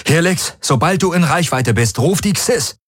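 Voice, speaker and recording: A man's voice: a little high, lively intonation, normal speed, breathy edge, dry recording, clean studio sound, voice pushed up. A man voicing a hot-headed, desperate starship pilot in a science fiction audiobook, urgent and exclaiming. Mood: urgent, commanding.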